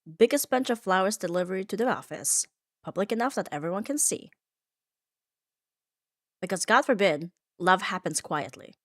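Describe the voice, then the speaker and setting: A woman's voice: medium pitch, expressive, normal speed, long pauses, no echo, clean studio sound. A woman delivering a scripted comfort ASMR monologue in an earnest, reflective manner, leaning on short emphatic phrases. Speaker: ASMR audio performer.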